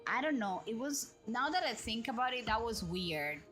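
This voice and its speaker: A woman's voice: fairly high pitch, big pitch swings, moderate pace, a bit bright. A woman live streamer chatting casually and thinking aloud while she plays a game. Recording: music playing behind the voice. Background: background music.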